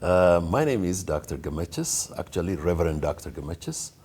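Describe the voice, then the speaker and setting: A middle-aged man from Ethiopia, a pastor and school founder, giving earnest, measured answers with frequent fillers in an interview. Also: low voice, big pitch swings, a little mellow, slight background noise.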